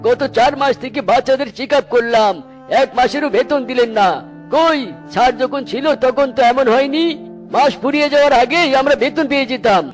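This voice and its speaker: A man's voice: very high-pitched, brisk, faint music behind. A man voicing a firm, fed-up doctor in a children's cartoon.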